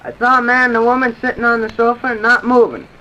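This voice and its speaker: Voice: mid-range voice, little pitch movement, fast, sharp tone, close to an everyday voice. A performer playing an earnest, respectful twelve-year-old boy in an old-time radio drama, speaking plainly. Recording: dull, noisy vintage radio recording.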